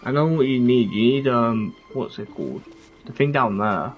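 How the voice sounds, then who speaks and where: A man's voice: mid-range voice, normal speed, narrow, tinny audio. A man giving casual gameplay commentary as a streamer, rambling and often trailing off mid-thought. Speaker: game streamer. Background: game music.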